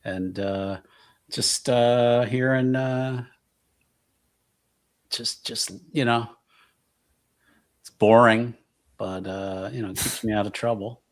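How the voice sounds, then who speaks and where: A man playing a tabletop roleplaying game, talking casually and haltingly, thinking aloud and joking as he improvises. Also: medium pitch, steady tone.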